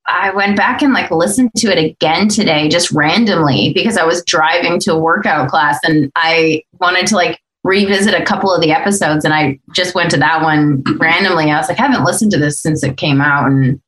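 Low-pitched woman in a roomy podcast recording. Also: a little fast, hardly any pauses, a bit bright. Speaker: podcast voice actor and host. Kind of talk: casual podcast chat.

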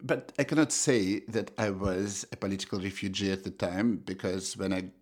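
A middle-aged man from Russia with a Russian accent, answering interview questions as an economist, thoughtful and halting with frequent restarts. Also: sits low, steady tone, darkish tone. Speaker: economist guest.

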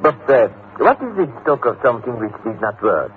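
Actor as animated French father, tinny old radio. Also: mid-range voice, a little fast, close to an everyday voice. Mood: puzzled, flustered. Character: anxious, courteous French father of a young boy. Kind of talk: 1950s radio drama acting. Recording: tinny, noisy vintage radio recording.

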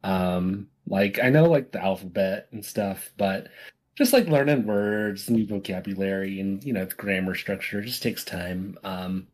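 A man's voice: deep voice, measured pace, darkish tone. A young man co-hosting a podcast, chatting casually and hesitantly, filling his talk with "um" and "you know". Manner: hesitant, lots of ums and you-knows. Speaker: podcast co-host. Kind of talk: casual chat.

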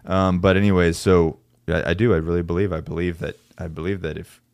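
A man's voice: deep voice, flat delivery, brisk, darkish tone, smooth, no echo, clean studio sound. A man hosting a casual podcast conversation, talking earnestly with many restarts. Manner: earnest, restarts often, talks about men leading by example. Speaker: podcast host.

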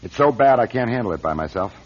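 An actor playing a shrewd, guarded car company chief in a radio drama, speaking with authority and probing. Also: male voice, medium pitch, lively intonation, fast talker, lightly acted. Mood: grave urgent. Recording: dull, muffled radio drama recording.

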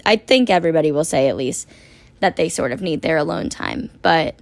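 Raspy-voiced young woman, chatty podcast host. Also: lowish voice, no room sound. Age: 20s. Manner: chatty, rambling, lots of "like". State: hoarse after a long work shift. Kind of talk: casual solo podcast chat.

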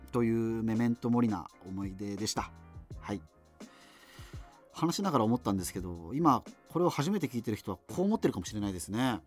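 An actor playing a wry, self-deprecating radio host in a doomed future, reminiscing in a digressive, hesitant conversational monologue. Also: male voice, medium pitch, a little mellow. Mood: reflective, wry.

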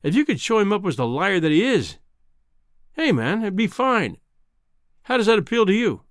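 A man voicing a kindly, crabbed old Scottish newspaper editor in a storybook reading, with a measured, avuncular manner. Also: high voice, very animated, no echo, clean studio sound, pitched above the performer's own voice. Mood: scheming. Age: older.

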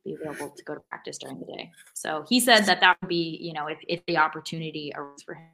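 Bright, lowish-voiced American woman. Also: fast talker, creaky, poor audio. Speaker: podcast co-host. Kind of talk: casual sports podcast chat.

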